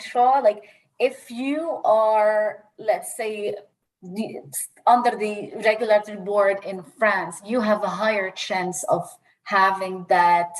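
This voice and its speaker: Woman, recruiter, measured explanations, video call, many fillers. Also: medium pitch, low-quality recording. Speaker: healthcare recruiter presenter. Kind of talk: answering audience questions in a live webinar.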